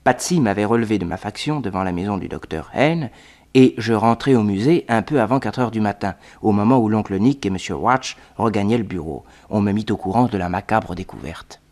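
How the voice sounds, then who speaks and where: The detective's young nephew narrates events in an old radio play. His slightly low voice tells the story in a plain, steady storytelling way. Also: brisk. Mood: recounting. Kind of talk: 1940s radio acting.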